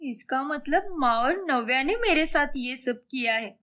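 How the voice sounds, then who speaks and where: A woman's voice: high voice, lively intonation, unhurried, pushed and bright, exaggerated voice. Lady doing a wronged young sorceress out for revenge, accusing and dramatic, voice swings a lot, storybook cartoon. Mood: hurt, resolute.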